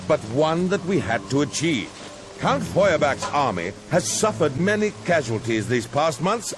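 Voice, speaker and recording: An actor playing a grave, resolute count and army general in a fantasy war game, speaking formally and with authority. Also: male voice, fairly high pitch. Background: music.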